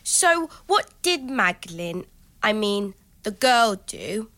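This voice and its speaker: Bright, eager young grandson. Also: female voice, mid-range voice, big pitch swings, takes it slowly. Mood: concerned. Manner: eager, innocent. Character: curious, earnest young grandson listening to Gogo. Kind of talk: audio drama acting.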